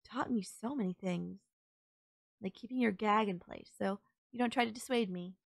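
A woman's voice: mid-range voice, normal speed, vocal fry, no echo. A woman playing a sultry, possessive kidnapper who gloats over and coaxes a captive in an intimate audio roleplay. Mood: teasing, menacing. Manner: teasing, coaxing, controlling.

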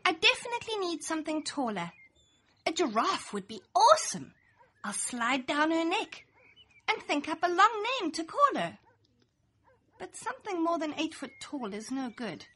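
A young adult woman reads a rhyming picture book aloud, her voice high and bright with a slightly breathy edge. She takes her time, letting her pitch swing widely and playfully through each line. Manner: lively, playful, sing-song storytelling. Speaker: storybook reader. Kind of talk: expressive read-aloud children's story in rhyme.

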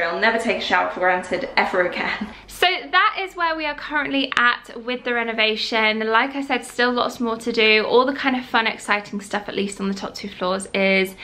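A woman's voice: medium pitch, pushed and bright, slight background noise. A young woman chatting casually and enthusiastically about her home renovation, with lots of "like" and "um". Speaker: vlogger. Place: house under renovation, indoors. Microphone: camera held or set close, front-facing.

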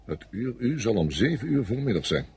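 An actor playing a confident, coldly calculating senior French army officer in a radio play, speaking with matter-of-fact authority. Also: male voice, mid-range voice, big pitch swings, fairly quick, darkish tone, a little hiss or hum. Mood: commanding. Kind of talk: Dutch radio play acting.

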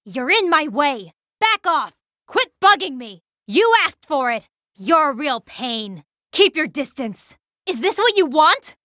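A blunt, nonchalant young fighter from a video game. She tosses off casual, sardonic lines in a fairly high voice with expressive swings. It sounds close and dry. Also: slow pace, dry recording, exaggerated voice. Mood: irritated, blunt. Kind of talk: video game battle voice clips.

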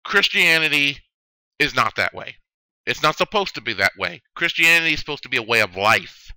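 A man in his late thirties giving a solemn religious testimony, slow and preaching, with sudden stressed words. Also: on the high side, expressive, sharp tone, clear, resonant tone, no echo, low-quality recording. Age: 30s. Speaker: video testimony speaker. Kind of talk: earnest religious testimony monologue.

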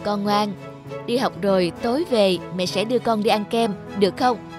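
A woman with a northern Vietnamese accent playing an anxious, strict but loving mother in an animated moral tale, earnest and expressive. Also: mid-range voice, moderate pace, music in the background, lightly acted. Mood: hurried.

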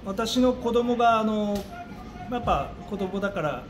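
A middle-aged man giving interview answers as a gym coach, thinking aloud with hesitant pauses. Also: high-pitched, expressive. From Japan. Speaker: gym owner and martial arts coach. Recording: clearly noisy room ambience.

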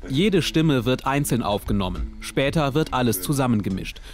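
Calm male documentary narrator over music. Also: medium pitch, normal speed. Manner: calm, even reporting.

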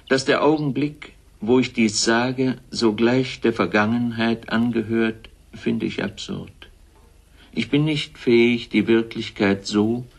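A man giving a measured literary reading in a sober, deliberate way. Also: lowish voice, creaky.

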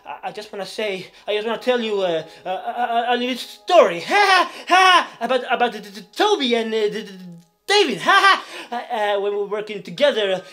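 A man's voice: high-pitched, animated, moderate pace, bright, forceful tone. A man playing an aging circus clown in a dramatic monologue, nervous and eager, with a heavy stammer and frequent nervous giggling. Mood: hesitant, defensive. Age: older.

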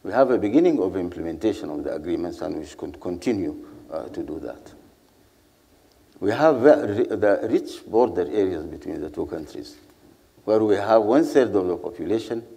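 Older male panelist, Sudanese accent, dark warm tone, measured public speaking, long hall reverb. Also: low-pitched, expressive, creaky. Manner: measured, deliberate, rhetorical repetition. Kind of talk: panel speech, earnest public address. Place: seated on a stage in a large hall.

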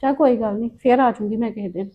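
Skit role: woman playing a preachy know-it-all auntie, higher voice, dull lo-fi sound. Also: racing, natural voice. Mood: lecturing. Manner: lecturing, stern. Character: meddling elder woman giving unwanted advice.

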